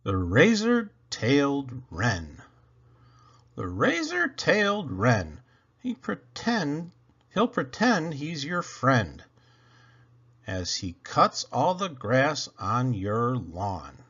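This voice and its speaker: A man with a husky voice performs children's poetry at an unhurried pace. His pitch swings dramatically as he leans into words with playful emphasis and pauses. The recording is a little dull and lo-fi. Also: wildly swooping pitch, fairly bright tone. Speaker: reader of children's poems for a read-aloud channel. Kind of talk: theatrical children's poetry read-aloud.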